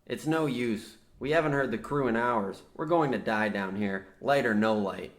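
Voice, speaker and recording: A man's voice: mid-range voice, normal speed, close to an everyday voice. A man playing a younger coal miner, earnest, desperate and guilt-ridden, in an old-time radio comedy. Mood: confessional, resigned. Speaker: radio play actor.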